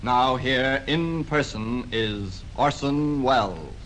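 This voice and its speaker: A man's voice: medium pitch, expressive, takes it slowly, a bit bright, slight background noise, poor audio. A man making formal program announcements in the style of 1950s radio. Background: orchestral theme music.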